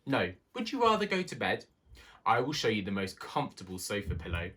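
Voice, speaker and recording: A man's voice: fairly low pitch, huge pitch swings, brisk, fairly bright tone. A man voicing a well-bred, fussy male town mouse in a storybook, formal and condescending. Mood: hospitable. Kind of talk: storybook character voice.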